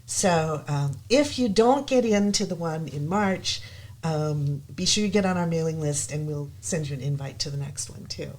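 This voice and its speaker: A woman's voice: low voice, normal speed, darkish tone. A woman from the United States, chatting as a guest on a live show, hesitant with many ums but enthusiastic. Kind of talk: casual chat.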